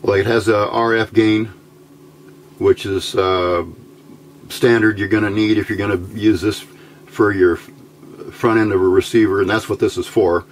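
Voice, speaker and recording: A man with a fairly low voice talks through a hands-on electronics demonstration. He is informal and halting, working out his words as he goes. The amateur recording, made indoors at a workbench, carries some background noise. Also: fairly even intonation. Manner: halting, informal, thinking aloud. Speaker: electronics hobbyist demonstrating a homebuilt circuit. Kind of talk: unscripted hands-on tutorial.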